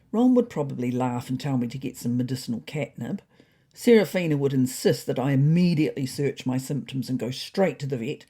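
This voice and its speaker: Woman as an anxious cat, mellow and expressive. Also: low-pitched, breathy edge, full character voice. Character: anxious, earnest pet cat confiding in a diary. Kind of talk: first-person diary narration.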